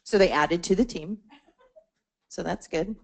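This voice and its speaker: A woman's voice: on the low side, measured pace, vocal fry, a little mellow, poor audio. A woman presenting to a membership meeting, explaining a support portal conversationally, with fillers and restarts. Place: meeting room.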